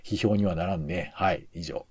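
A man's voice: deep voice, moderate pace, darkish tone, breathy edge, no room sound. A man co-hosting a podcast, giving his commentary in casual conversation. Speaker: podcast co-host.

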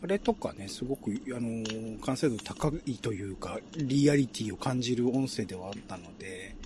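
A man hosting a relaxed chat, talking politely in a hesitant, roundabout way. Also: medium pitch, moderate pace, crowd noise. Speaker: host.

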